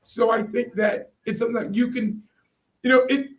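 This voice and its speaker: A man's voice: extremely high pitch, fairly even intonation, normal speed. A man talking casually in a panel discussion, stressing his points by repeating phrases. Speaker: podcast panel host. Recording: roomy, distant from the mic.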